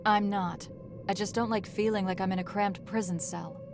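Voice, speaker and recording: A woman voicing a curt, guarded, grumpy young assassin in a visual novel game, grumbling in deadpan. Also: mid-range voice, normal speed, gravelly, over music, natural voice. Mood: grumpy, defensive.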